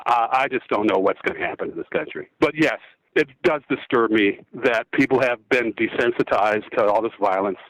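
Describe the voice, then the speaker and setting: A man's voice: medium pitch, moderate pace, fairly bright tone, no room sound, rough recording. A man in his sixties, a guest in a phone-in interview, talking with impassioned, preachy conviction that swings from outrage to sorrow. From United States. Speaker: guest, former football quarterback.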